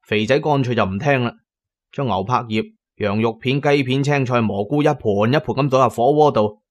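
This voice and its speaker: An actor with a Cantonese accent playing a pragmatic tomb raider who tells his own adventures in a radio drama, measured and colloquial. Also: mid-range voice, close to an everyday voice. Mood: wry, narrating. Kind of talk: first-person radio drama narration and dialogue.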